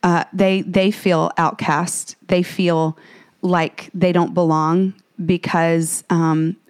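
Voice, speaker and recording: A woman's voice: on the low side, level tone, takes it slowly, clean tone. A woman co-hosting a faith podcast, speaking earnestly and fervently, slipping into a preachy tone with many restarts. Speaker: podcast co-host.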